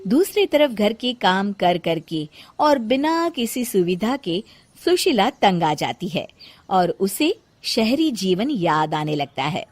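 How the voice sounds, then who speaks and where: A woman's voice: medium pitch, big pitch swings, takes it slowly, darkish tone, a little hiss or hum. A woman storyteller reading scripted narration for a moral tale.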